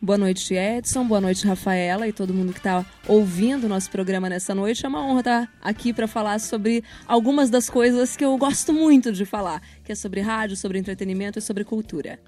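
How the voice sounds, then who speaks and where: A woman's voice: medium pitch, fairly quick, hardly any pauses, warm tone, soft background music. A woman, speaking articulately and informally as a guest in a conversational interview. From Paraná, Brazil. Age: 30s. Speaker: interview guest, radio announcer and journalist.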